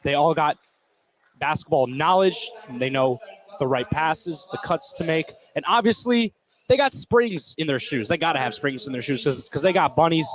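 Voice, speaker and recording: Higher-voiced man giving halting basketball analysis. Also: animated, low-quality recording. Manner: halting, repeats words as he thinks aloud. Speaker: color commentator. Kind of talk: conversational live sports analysis.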